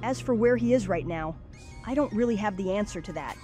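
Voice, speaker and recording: A woman's voice: medium pitch, animated, brisk, warm tone, soft background music, natural voice. A woman playing a confident, practical young kid who leads a team of heroes in a cartoon comic dub.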